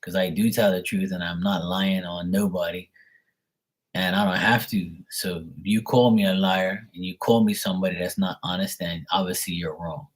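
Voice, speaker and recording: A man with a husky voice talking casually on a live tarot reading stream, blunt and opinionated, rambling and swearing freely. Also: deep voice, fairly even intonation, moderate pace.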